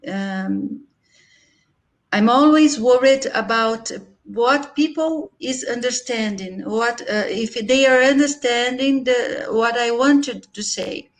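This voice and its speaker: Slow, halting Brazilian woman on a video call. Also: fairly high pitch, fairly bright tone. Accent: Brazilian Portuguese. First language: Portuguese. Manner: hesitant, searching for words, laughs often. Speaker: conference session participant. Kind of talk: casual video call chat.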